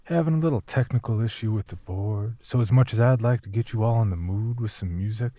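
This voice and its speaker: An actor playing a flirtatious late-night radio DJ, smooth-talking and seductive, with teasing, breathy half-whispers. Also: male voice, lowish voice, brisk, dark tone, dry recording, close to an everyday voice. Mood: wry, flirtatious.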